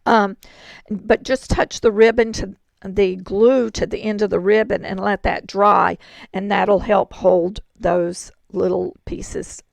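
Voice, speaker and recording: Hoarse woman, gravelly and unhurried, chatty craft instructor, close dry sound. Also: mid-range voice, fairly even intonation, dry recording. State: hoarse, throat-clearing. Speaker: card-making instructor. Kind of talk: crafting tutorial.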